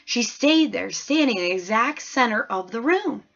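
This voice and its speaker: A woman's voice: on the high side, expressive, normal speed, vocal fry, fairly bright tone, lo-fi sound, voice pushed up, full character voice. A woman voicing a chatty, frank ten-year-old girl who narrates a storybook in folksy, colloquial, rambling style. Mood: chatty, earnest. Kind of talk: first-person storybook read-aloud in character.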